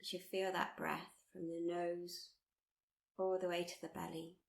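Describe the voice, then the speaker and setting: A woman teaching guided meditation, speaking in a soothing, gently encouraging, unhurried way with repeated phrases. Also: lowish voice, flat delivery, gravelly. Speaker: meditation teacher leading a body scan. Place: live workshop.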